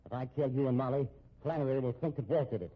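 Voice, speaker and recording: A man acting as a treacherous, gloating crook in a 1950s radio drama, with a husky, sneering voice. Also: medium pitch, fast talker, natural voice. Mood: gloating, threatening. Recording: muffled old radio recording.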